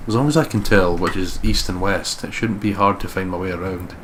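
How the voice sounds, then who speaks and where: A man reading the part of a guarded, determined investigator narrating a horror visual novel in a measured, serious way. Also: sits low, fairly even intonation, natural voice. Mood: brooding, serious. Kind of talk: dramatic scripted narration, visual novel read-aloud. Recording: clearly noisy home recording.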